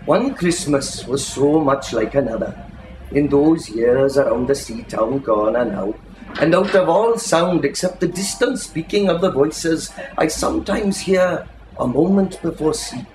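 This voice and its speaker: Male literary reader: expressive, theatrical, savours phrases; roomy live recording with faint noise behind. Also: a little high, unhurried. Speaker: reader, storyteller. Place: live reading in an indoor shop. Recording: echoey room with faint background noise.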